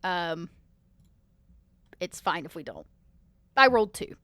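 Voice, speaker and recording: A woman's voice: fairly low pitch, expressive, takes it slowly, sharp tone, dry recording. A woman playing a tabletop roleplaying game, improvising in character with emphatic explanations and pointed questions.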